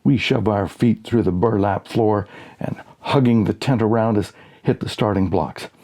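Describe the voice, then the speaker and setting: A man narrates a comic short story in a dark, husky voice. His delivery is deadpan, building mock suspense through dramatic pauses, with a gravelly edge. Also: on the low side. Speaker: audiobook reader. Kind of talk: scripted humorous story narration.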